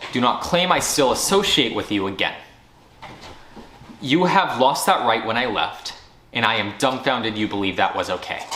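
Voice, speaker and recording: A man's voice: lowish voice, a bit bright, a little hiss or hum. A man who founded a studio gives a firm, earnest year-end recap, speaking directly to fans. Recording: roomy echo.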